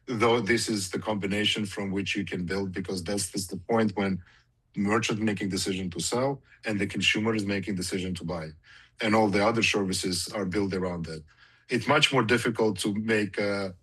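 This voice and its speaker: A man's voice: fairly low pitch, fairly bright tone, husky, low-quality recording. A male company executive giving an unscripted answer on an earnings call, emphatic and repeating himself for weight.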